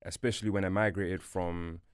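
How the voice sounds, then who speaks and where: Young male guest, Portuguese-born, Portuguese first language, low-pitched, loose slangy chat, dry studio sound. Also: fairly even intonation, moderate pace, clean tone, dry recording. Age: young adult. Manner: laid-back street slang, fillers like "you feel me", "bro". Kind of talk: casual interview chat. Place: podcast studio.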